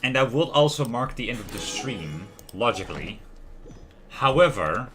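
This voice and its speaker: A man livestreaming a video game, talking casually and haltingly and reading game text aloud. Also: mid-range voice, very animated. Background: soft background music.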